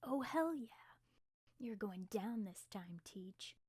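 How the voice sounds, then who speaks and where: Lady doing a cocky young gymnast girl whispering in asmr roleplay, slangy, soft dark tone, really dry sound. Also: medium pitch, expressive, slow pace, dry recording. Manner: casual, slangy, breathy. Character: brash, food-loving young female gymnast.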